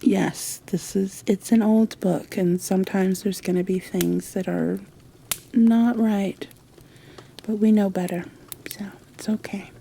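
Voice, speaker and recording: A woman narrating a bedtime story aloud in a gentle ASMR style. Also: medium pitch, steady tone, slow, gravelly, a little hiss or hum, poor audio.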